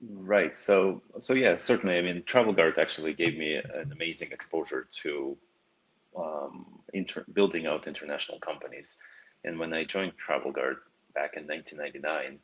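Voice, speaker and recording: A middle-aged man from Russia, a company CEO answering interview questions in a measured way with small restarts. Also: extremely low pitch, steady tone, slightly rough, poor audio. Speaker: CEO guest.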